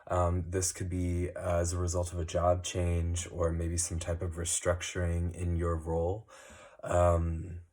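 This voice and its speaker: A man with a cold, giving a slow, casual card reading with many ums. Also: low voice, completely flat delivery, darkish tone. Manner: unhurried, many ums and uhs. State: recovering from a cold, sniffly. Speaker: tarot reader. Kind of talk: monthly astrology card reading.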